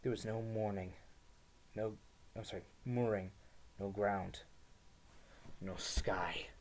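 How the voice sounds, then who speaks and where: Young man, fairly low pitch, somber dramatic narration, hushed phrase endings, student reader. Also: steady tone, slow pace, a little hiss or hum. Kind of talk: dramatic reading of first-person fiction.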